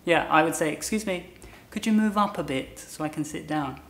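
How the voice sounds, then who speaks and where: A British woman with a low-pitched voice talks as an English teacher. Her style is casual and explanatory, and the room around her voice sounds noticeably echoey. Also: a little fast.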